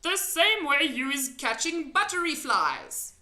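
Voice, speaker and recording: A woman puts on a big friendly giant from a storybook, earnest and full of wonder. The speech is slow and emphatic, in muddled folksy grammar, and swings widely in pitch. The room rings around the voice. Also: very animated, sharp tone, reverberant, heavily acted. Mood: informative.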